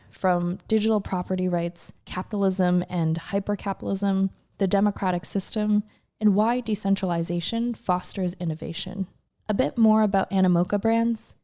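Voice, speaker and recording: A woman hosting a podcast, reading a scripted introduction in a measured way before asking her first question. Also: mid-range voice, gravelly, mellow. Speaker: podcast host.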